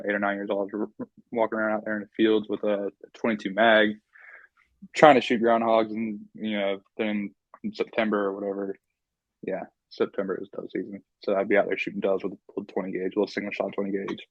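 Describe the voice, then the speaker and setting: A man's voice: lowish voice, completely flat delivery, a little fast, dry recording, lo-fi sound. A young man from North Carolina, a guest chatting casually and rambling with many ums. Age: young adult. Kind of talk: casual interview chat.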